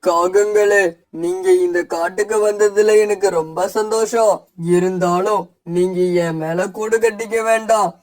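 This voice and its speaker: High-voiced kindly talking tree played by a man. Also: steady tone, measured pace. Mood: firm. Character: kindly talking tree, earnestly advising birds. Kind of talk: cartoon voice acting.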